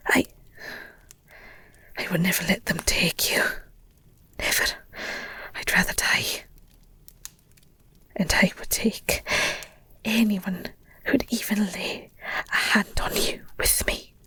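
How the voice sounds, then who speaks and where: A woman performing an audio roleplay as a protective, devoted werewolf girlfriend, speaking in an earnest, tender, halting way with a breathy whisper. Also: medium pitch, bright, forceful tone, no room sound, full character voice. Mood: earnest, vowing.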